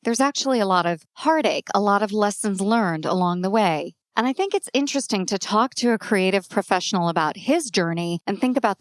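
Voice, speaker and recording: A woman's voice: mid-range voice, dry recording, studio quality. A woman hosting a podcast interview, upbeat and enthusiastic as she asks her questions. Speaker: podcast host.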